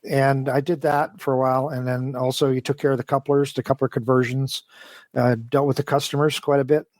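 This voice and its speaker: Man giving rambling, halting answers, dry sound. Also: mid-range voice, level tone, a little mellow, no echo. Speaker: interview guest, model train design engineer. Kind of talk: casual, detailed interview talk.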